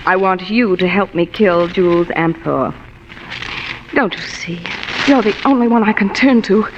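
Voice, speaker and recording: Actress for cool, manipulative femme fatale, vintage radio drama. Languid, coaxing delivery; muffled, hissy, echoing recording. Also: female voice, mid-range voice, measured pace, natural voice. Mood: desperate pleading. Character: seductive, scheming, cool married blonde femme fatale. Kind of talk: 1940s radio noir acting. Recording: muffled, reverberant, noisy old radio broadcast.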